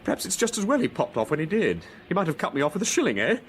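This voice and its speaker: Nervy, animated English war veteran. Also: male voice, fairly high pitch, rapid-fire, a little hiss or hum. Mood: musing, amused. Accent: upper-class English. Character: bitter, nervy English war veteran, gassed ne'er-do-well gentleman. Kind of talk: radio drama acting.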